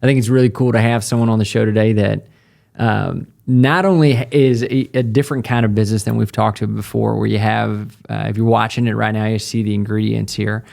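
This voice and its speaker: A man's voice: on the low side, flat delivery, fairly quick, gravelly, a little mellow. A man hosting a podcast interview in a laid-back, jokey way. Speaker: podcast host. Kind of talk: casual interview chat.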